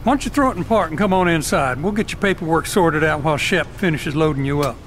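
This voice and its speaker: A man's voice: on the high side, fast, a bit bright, slight background noise, natural voice. An actor playing an apologetic, hospitable yet commanding male farm boss, polite and directing, in an audio drama.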